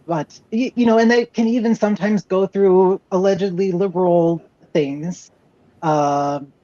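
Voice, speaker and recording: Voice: medium pitch, a little slow, mellow, no room sound. A film critic on a panel who speaks hesitantly, thinking aloud with many fillers, over a video call. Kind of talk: panel discussion. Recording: dry, muffled lo-fi sound.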